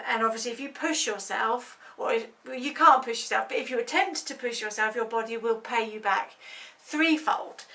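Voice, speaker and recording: A woman's voice: on the high side, bright, forceful tone, distant mic. A woman in her thirties speaking as a health advocate, explaining an illness in an earnest, conversational way with many hesitations. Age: 30s. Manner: earnest, conversational with frequent ums. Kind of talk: informal explainer talk.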